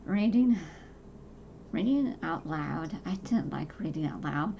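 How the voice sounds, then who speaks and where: A soft-voiced woman in her forties, talking casually and haltingly about her own life, with many fillers and restarts. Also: deep voice, lively intonation, gravelly, lo-fi sound, a little hiss or hum. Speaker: podcast host. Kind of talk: casual personal talk to camera.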